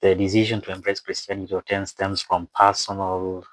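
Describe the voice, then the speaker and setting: A man who is not a native English speaker narrates an explainer from a script with asides, haltingly, stumbling over words and repeating phrases. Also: low voice, completely flat delivery, fairly bright tone, no room sound, low-quality recording. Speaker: video presenter and narrator.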